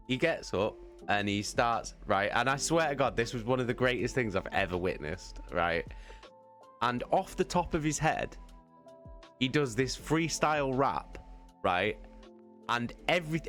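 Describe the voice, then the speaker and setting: A man's voice: medium pitch, fairly bright tone. A man on a live stream animatedly telling a tabletop game story, swearing often and laughing mid-sentence. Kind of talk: casual anecdote on a live stream.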